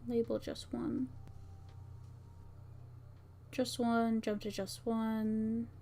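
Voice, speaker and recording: A woman with a fairly high voice talks through her work on a casual livestream. She takes her time, speaking very slowly and often muttering to herself as she reads things out and checks them. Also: flat delivery, mellow, a little hiss or hum. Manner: muttering to herself, reading out lines as she works. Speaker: VTuber streamer and game maker. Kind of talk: casual livestream, thinking aloud while testing a visual novel.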